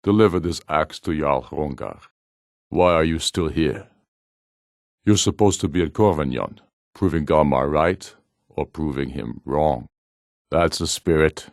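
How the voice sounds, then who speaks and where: A proud Nordic warlord for a fantasy video game, with an extremely deep voice. He speaks like a ruler addressing his warriors, solemn, measured and imperious. The sound is close and dry. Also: vocal fry, no echo, exaggerated voice. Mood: stern, commanding. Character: proud, commanding rebel warlord, a middle-aged Nordic jarl.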